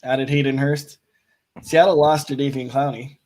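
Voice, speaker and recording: A young man with a touch of rasp reads out sports news in a level, matter-of-fact way, dropping plenty of "um"s. His pitch barely moves, staying flat at a medium height. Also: moderate pace. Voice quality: a bit raspy. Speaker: co-host. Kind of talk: casual fantasy football chat.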